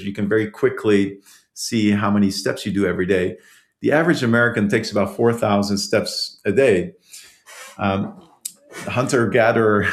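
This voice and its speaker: A man from the Netherlands, a guest author, answering interview questions in a hesitant way with many restarts and small laughs. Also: on the low side. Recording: roomy echo.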